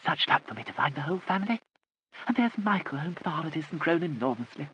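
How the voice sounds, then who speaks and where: A man's voice: fairly high pitch, sing-song, fast, fairly bright tone, dull audio, slight background noise, voice pushed up. Aunt Kit, a vague, deaf, old aristocratic lady, as a man puts her on. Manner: whispery, wandering, genteel.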